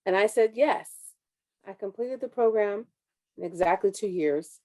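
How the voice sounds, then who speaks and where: A woman's voice: medium pitch, measured pace, darkish tone. A woman in her thirties, a city councilwoman, giving an earnest, reflective personal speech over a video call. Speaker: city councilwoman, panel speaker. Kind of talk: personal speech at an online forum.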